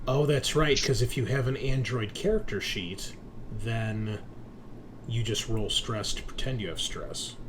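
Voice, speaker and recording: A man's voice: mid-range voice, a little slow, noisy background. An American man in casual, sometimes sweary chat as he hosts a tabletop game stream. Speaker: host and game master.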